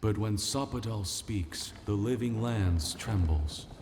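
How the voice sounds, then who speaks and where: A man's voice: lowish voice, animated, slow pace. A man voicing an ancient, grave plant spirit in a video game, solemn, formal and portentous. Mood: grave, foreboding. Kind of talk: video game dramatic dialogue. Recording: long reverb, faint background noise.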